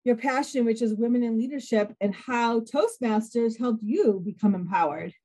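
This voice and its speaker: A woman hosts a podcast and chats in a bubbly, self-deprecating way. Her voice sits a little high, and she laughs easily as she talks. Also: expressive, moderate pace, dark tone. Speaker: podcast host. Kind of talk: casual interview chat.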